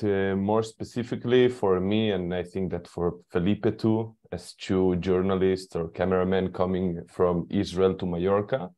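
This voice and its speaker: A man's voice: low voice, mellow. A man, a journalist and co-director, joining a film panel by video call and answering with hesitant fillers. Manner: sleepy, laughs lightly, hesitant. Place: Barcelona.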